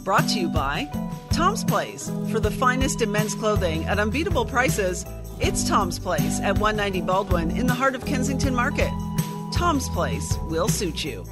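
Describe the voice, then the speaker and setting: A woman announcer reads the show intro and sponsor spots with a lively promotional delivery. Her pitch rises and falls a lot. Loud music plays under her voice. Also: normal speed. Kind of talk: scripted ad read.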